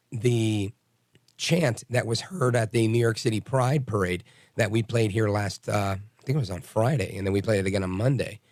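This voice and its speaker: Raspy, hoarse middle-aged male radio host, low and dry, blunt opinionated monologue. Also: darkish tone, no echo. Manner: blunt, combative, rambling with restarts. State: hoarse from bronchitis. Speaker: radio talk show host.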